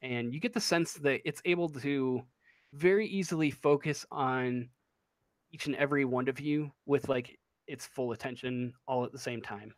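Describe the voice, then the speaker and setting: A man's voice: medium pitch, normal speed, no room sound. A man narrating a tabletop role-playing game as game master, improvising and searching for his words.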